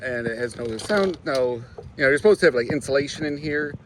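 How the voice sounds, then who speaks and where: A man's voice: mid-range voice, brisk, noisy background. A man in his garage chatting casually and rambling through small car projects, with lots of fillers. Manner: relaxed, rambling, filler-heavy. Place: home garage.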